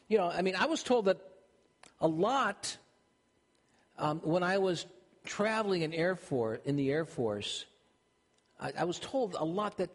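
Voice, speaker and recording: An older man from Minnesota with a Minnesotan accent, preaching a sermon in a conversational way with frequent restarts. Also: sits high. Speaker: pastor. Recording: long hall reverb.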